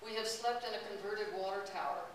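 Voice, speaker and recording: A woman's voice: mid-range voice, fairly quick. A woman giving a chatty talk to an audience about a long walk, often laughing as she tells stories. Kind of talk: personal travel talk to an audience. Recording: distant, roomy, hissy recording.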